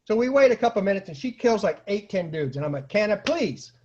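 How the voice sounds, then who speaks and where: Male storyteller, high-pitched, casual and comic, acts out dialogue and noises, dull lo-fi recording. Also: sing-song, brisk, darkish tone. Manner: acts out quotes and sound effects, bursts into exclamations. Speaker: storyteller and host of an online story series.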